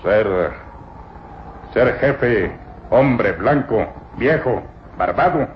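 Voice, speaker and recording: An actor playing a humble, fearful, loyal male slave in an old radio serial, speaking servilely in halting, broken phrases. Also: on the low side, expressive. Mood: hesitant, dutiful. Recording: muffled, noisy old radio drama recording.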